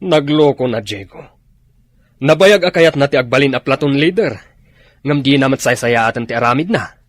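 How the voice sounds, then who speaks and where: Stern, barking military officer played by an actor. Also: male voice, medium pitch, lively intonation, normal speed, no room sound, close to an everyday voice. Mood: commanding. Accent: Ilocano. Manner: barking, authoritative. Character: stern, commanding rebel-hunting military officer. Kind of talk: Ilocano radio drama acting.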